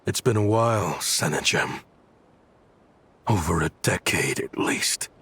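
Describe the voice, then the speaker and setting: An actor playing a brooding, vengeful man in a video game, husky and breathy, speaking in measured, deliberate phrases. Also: deep voice, animated, fairly bright tone, a little hiss or hum, heavily acted. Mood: cold, taunting. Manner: measured, taunting, dramatic pauses.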